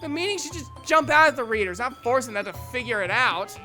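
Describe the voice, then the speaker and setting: A woman's voice: fairly high pitch, animated, moderate pace, bright, forceful tone, soft background music. A woman voicing a feisty, blunt, easily angered teenage girl in an anime visual novel fandub, with a snappy, confrontational manner. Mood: angry, insistent.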